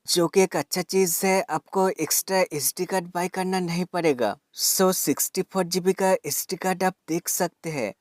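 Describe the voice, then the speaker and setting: A man's voice: high-pitched, no room sound. Dry, close-sounding male product reviewer. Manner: methodical, step-by-step instructional. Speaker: tech reviewer. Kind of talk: product unboxing and tutorial narration.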